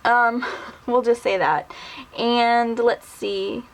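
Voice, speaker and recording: A woman in her mid-twenties talks casually to her camera. Her voice sits a little high, and she rambles easily with plenty of fillers. It sounds like a relaxed home video. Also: drawn-out words, creaky, poor audio. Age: 20s. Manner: rambling, chatty, lots of ums and little laughs. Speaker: vlogger. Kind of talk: casual tag video answering questions.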